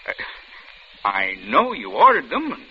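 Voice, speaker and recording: A man's voice: mid-range voice, huge pitch swings, takes it slowly, a little hiss or hum, exaggerated voice. An actor playing an affable, slightly awkward delivery man who talks hesitantly in a 1940s radio drama. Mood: friendly awkward. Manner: hesitant, folksy, stammering politely. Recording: dull old radio recording.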